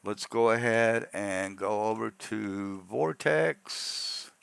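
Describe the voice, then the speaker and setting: A man with a gravelly voice narrates a software tutorial in an informal, rambling step-by-step way, often checking in with an "okay". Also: on the low side, takes it slowly, no room sound. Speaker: tutorial host.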